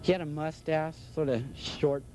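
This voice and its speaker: A man's voice: on the high side, steady tone, normal speed, poor audio. A man giving a casual on-the-spot account as an eyewitness in a news interview.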